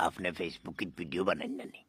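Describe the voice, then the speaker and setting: A man's voice: deep voice, steady tone, normal speed, fairly bright tone, breathy edge, no echo. A man making a comic prank phone call, rambling and repeating himself. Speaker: prank caller.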